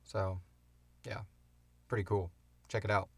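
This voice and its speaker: Deep-voiced man chatting casually as podcast co-host. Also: little pitch movement, a little slow, breathy edge. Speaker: podcast co-host and show creator. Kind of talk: casual Q&A chat.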